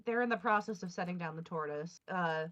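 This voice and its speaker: A woman narrates her character casually in a tabletop game. Her voice is fairly low with a sharp edge, and the recording sounds a bit muffled and lo-fi. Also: brisk. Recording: slightly dull, lo-fi sound.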